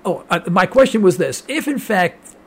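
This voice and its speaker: A man's voice: high-pitched, big pitch swings, normal speed, slightly rough. An older man from New York, a radio host who rambles, stammers with repeated words and jokes while interviewing a guest. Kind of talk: casual interview.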